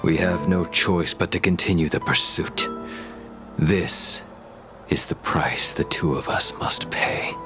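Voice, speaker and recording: A man playing a grim, menacing, vengeful swordsman in a video game, with cold, patient, deliberate delivery. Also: deep voice, steady tone. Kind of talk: dramatic video game voice acting. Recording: background music over game dialogue.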